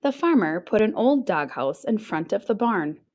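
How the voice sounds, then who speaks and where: Lively woman reading a storybook aloud. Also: medium pitch, normal speed. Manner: expressive, acting out sounds and exclamations. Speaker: teacher reading a picture book aloud. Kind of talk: children's storybook read-aloud narration.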